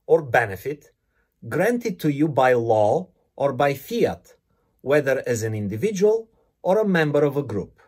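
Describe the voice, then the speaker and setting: A man from Bulgaria with a Bulgarian accent, delivering a scripted opinion monologue in a measured, emphatic way, stressing words one by one. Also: on the high side, darkish tone, husky.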